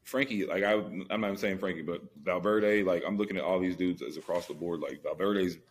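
A man's voice: low-pitched, steady tone, a little fast, slightly rough. A man co-hosting a sports podcast, chatting casually and slangily about football, repeating phrases for emphasis.

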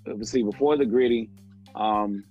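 A man's voice: on the low side, moderate pace, warm tone. A man in his late twenties chatting on a sports podcast, slangy and mock-grumpy like an old head. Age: late 20s. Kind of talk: casual chat. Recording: slightly dull audio, faint music underneath.

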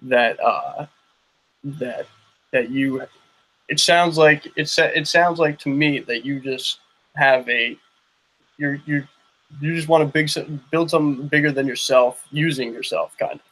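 A man's voice: a little high, a little slow, bright, forceful tone, rough recording. A young man hosting a podcast interview, talking loosely with many restarts and "you know what I mean". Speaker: podcast host. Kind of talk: casual interview chat.

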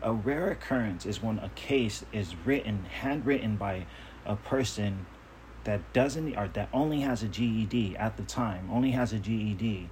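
Low-voiced man, earnest podcast monologue. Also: normal speed, a little mellow, slight background noise, rough recording. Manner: earnest, self-assured, emphatic. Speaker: podcast host.

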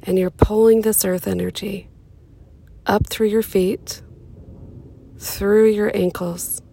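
Soft slow lady doing a guided meditation, gravelly fry, really mellow, close dry sound. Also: mid-range voice, fairly even intonation. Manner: soothing, unhurried, pausing between short phrases.

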